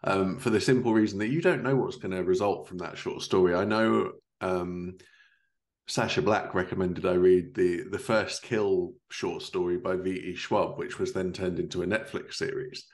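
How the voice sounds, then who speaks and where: A man's voice: low-pitched, steady tone, normal speed. A man in his thirties hosting a casual interview, with an informal, affirming way of talking. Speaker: podcast host. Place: living room at home, indoors, video call.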